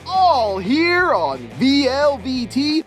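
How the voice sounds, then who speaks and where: A woman's voice: high-pitched, sing-song, slow pace, faint music behind, heavily acted. A woman playing a peppy, tacky local radio announcer, reading a scripted retro station promo in a punchy sing-song. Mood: upbeat.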